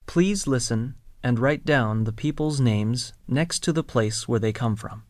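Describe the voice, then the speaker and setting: A speaker reading scripted English listening exercises in a slow, deliberate way, spelling out words letter by letter. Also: medium pitch, darkish tone, close to an everyday voice. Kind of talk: scripted slow textbook dialogue.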